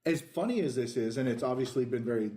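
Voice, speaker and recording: A man's voice: medium pitch, animated, fast talker, a loud crowd. Man rambling casually in an echoey room. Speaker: podcast co-host. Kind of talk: casual discussion.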